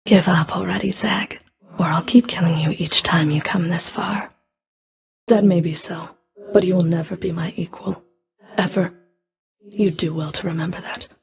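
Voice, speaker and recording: An actress voicing a stern, scornful female underworld enforcer in a video game, with a cold, deliberate, menacing manner. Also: lowish voice, steady tone, gravelly. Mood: cold contempt. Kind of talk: video game character voice lines.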